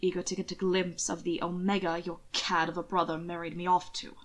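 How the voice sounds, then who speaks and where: A woman voicing a curt, sardonic male aristocrat in an audiobook story, gruff and clipped. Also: fairly low pitch, little pitch movement, brisk, close to an everyday voice. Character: Hannibal, curt, brooding, sardonic aristocratic man, the heir.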